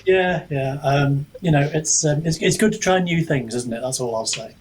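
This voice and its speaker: A man's voice: on the high side, moderate pace, a little mellow, breathy edge, rough recording. A man speaking casually as a guest author in a podcast interview, self-deprecating and a little rambling as he feels for his words. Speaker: guest author, fantasy writer and book reviewer.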